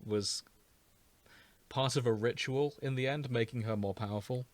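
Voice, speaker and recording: A man's voice: medium pitch, moderate pace, dry recording. Explanatory man with a dry, close sound. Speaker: podcast host. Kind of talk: casual chat, explaining a show's plot.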